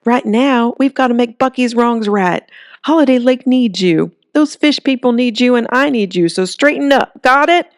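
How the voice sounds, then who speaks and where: A woman's voice: fairly high pitch, moderate pace, no room sound, studio quality, pitched above the performer's own voice, close to an everyday voice. A woman voicing a stern, bossy living gingerbread woman who scolds like a school principal.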